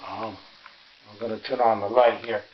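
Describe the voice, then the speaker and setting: A tired, sore man gives a rambling, halting, casual narration as he hosts a home vlog. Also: lowish voice, little pitch movement, highs cut off, noisy background. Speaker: vlog host. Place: inside a house, walking through rooms.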